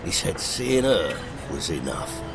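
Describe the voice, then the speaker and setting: A man's voice: low voice, sing-song, slow pace, creaky, over music, heavily acted. A man playing a deferential working tug captain, terse and matter-of-fact, in an audio drama. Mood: dutiful, matter-of-fact.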